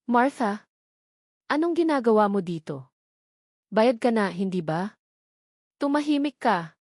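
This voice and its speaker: A woman's voice: a little high, sing-song, measured pace, a little mellow, no room sound. Haughty older society matriarch, read by a female storyteller; imperious, disdainful, dry studio sound. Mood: commanding. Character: haughty, cold rich matriarch, older woman.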